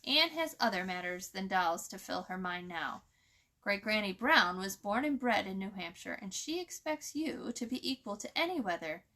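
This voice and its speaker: A woman's voice: medium pitch, animated, normal speed, pushed and bright. A woman voicing a smug, gossipy male country crow with a folksy, teasing manner, in a storybook reading. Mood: teasing, kindly. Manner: folksy, teasing, smirking persuasion.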